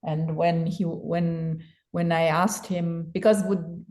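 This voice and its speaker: Panel guest: woman from Berlin, slightly low voice, thoughtful, restarts often. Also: little pitch movement, takes it slowly, a little mellow. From Germany. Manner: thoughtful, hesitant, many restarts. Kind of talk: panel discussion.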